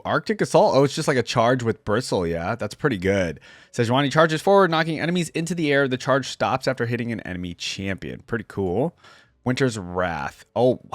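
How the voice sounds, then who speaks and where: A man's voice: mid-range voice, no room sound, clean studio sound. A man hosting a reaction video, thinking aloud informally as he comments and reads text out. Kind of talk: casual reaction commentary mixed with reading story text and game descriptions aloud.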